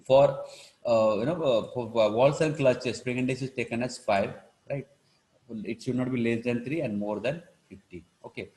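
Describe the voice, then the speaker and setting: A man with an Indian accent teaching a live online engineering class, explaining step by step in a halting, repetitive way with frequent check-in words. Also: mid-range voice, a little mellow, poor audio. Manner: halting, repetitive, punctuated with check-in words like "right" and "you know". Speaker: engineering lecturer.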